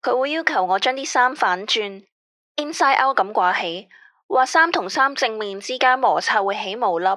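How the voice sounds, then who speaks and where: An actress playing an anxious radio caller who speaks Cantonese with a Hong Kong accent in a tense, weary, complaining way. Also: female voice, fairly high pitch, lively intonation, normal speed, fairly bright tone, no room sound, clean studio sound. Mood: uneasy. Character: female caller, anxious about a controlling ex-husband. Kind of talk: radio drama acting.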